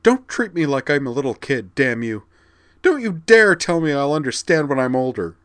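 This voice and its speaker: A man's voice: fairly low pitch, lively intonation, fairly quick, voice pushed up, close to an everyday voice. A man voicing a lovesick young Valkyrie girl who pleads and bursts into tears. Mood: angry tearful. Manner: pleading, rising to tearful outbursts.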